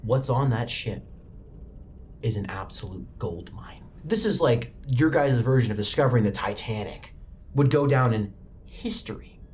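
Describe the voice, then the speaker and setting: A man's voice: mid-range voice, lively intonation, normal speed, darkish tone, slight background noise. A man working as game master, narrating a tabletop roleplaying game in a dramatic, immersive way. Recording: music bed under the voice. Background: background music.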